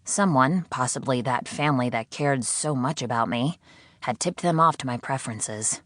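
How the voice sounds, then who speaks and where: A low-pitched woman narrates a novel in the first person. Her reading is measured and expressive, recorded close and very dry, with no room sound at all. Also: dry recording. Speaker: audiobook narrator.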